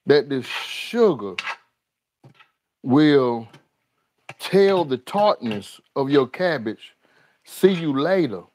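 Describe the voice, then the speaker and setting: A man in his home kitchen hosts a live cooking stream, talking at an unhurried, drawn-out pace. He speaks to the viewers as y'all and says his lines again and again. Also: fairly high pitch, darkish tone, a little hiss or hum. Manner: drawling, talks to viewers as y'all, repeats lines. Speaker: cooking show host. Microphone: overhead camera on the skillet, speaker unseen.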